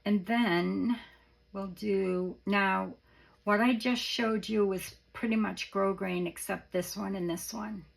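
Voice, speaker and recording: A hoarse woman showing off craft supplies in a casual show-and-tell, gushing softly and often dropping to a whisper. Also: medium pitch, fairly even intonation, slow. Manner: soft-spoken, gushing, often drops to a whisper. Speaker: craft vlogger.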